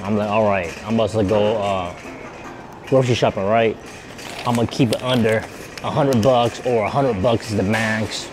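A man chatting casually to his viewers as he shops in a grocery store, thinking out loud and repeating himself. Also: lowish voice, noisy background. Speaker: vlogger. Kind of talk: casual vlog chat.

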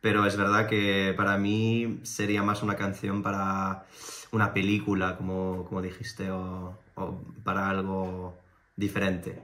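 A young man with a deep, flat voice chimes in on a casual reaction video. He hesitates and repeats himself as he gives his opinion. A roomy echo hangs around his voice. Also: unhurried. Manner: short agreeing interjections, hesitant. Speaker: reaction channel co-host. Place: living room at home. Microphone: fixed camera on a desk.

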